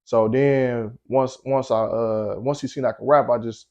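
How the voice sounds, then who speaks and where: A young man from Milwaukee talks as a guest in a casual interview, loose and unhurried, full of slang and fillers. His voice sits at an ordinary pitch and is deep in tone. The sound is a little dull and lo-fi. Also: fairly even intonation, darkish tone. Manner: laid-back storytelling with slang and frequent fillers. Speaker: guest rapper.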